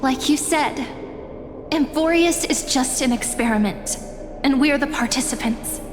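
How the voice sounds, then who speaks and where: An actress playing an earnest young heroine in a video game cutscene, speaking in a questioning way. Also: female voice, high-pitched, unhurried. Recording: reverb with music behind.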